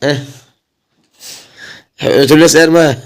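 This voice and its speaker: Fast-talking Egyptian man, casual vlogger. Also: on the high side, fairly even intonation. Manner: repeats himself, often addresses viewers. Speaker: family vlogger. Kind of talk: casual chat to viewers.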